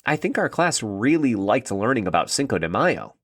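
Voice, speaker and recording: Man reading as eager young schoolboy, lively intonation. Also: medium pitch, normal speed, no echo, clean studio sound. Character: eager, proud young Mexican twin schoolboy. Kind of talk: children's storybook character voice.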